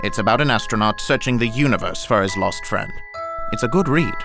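A man's voice: lowish voice, brisk, creaky, over music. A man playing a pompous lecturing academic. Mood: defensive. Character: pompous male academic book thief, grandiose. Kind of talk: audio drama acting.

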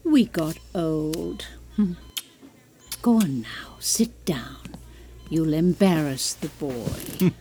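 An actress playing a crotchety but tender old woman patient in an audio drama, with a creaky voice. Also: low-pitched, expressive, drawn-out words, dark tone, soft background music, heavily acted. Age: older.

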